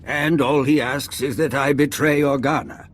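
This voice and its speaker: An actor playing a proud, indignant duke in a video game, haughty and emphatic. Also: male voice, mid-range voice, fairly even intonation, moderate pace, close to an everyday voice. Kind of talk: dramatic video game acting.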